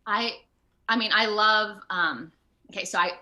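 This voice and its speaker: A woman actor talking casually as an interview guest, animated and quick to act out remarks. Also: mid-range voice. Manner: animated, acts out quoted remarks.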